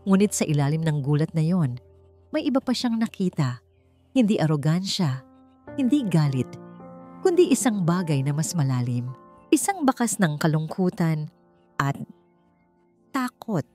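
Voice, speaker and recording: A woman's voice: deep voice, very animated, unhurried, long pauses, warm tone. A woman reading a scripted, dramatic story as its narrator. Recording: music bed under the voice. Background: background music.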